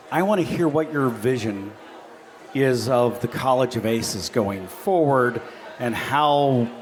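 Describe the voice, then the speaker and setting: A man moderating a panel, introducing speakers in an upbeat, chatty way. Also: mid-range voice.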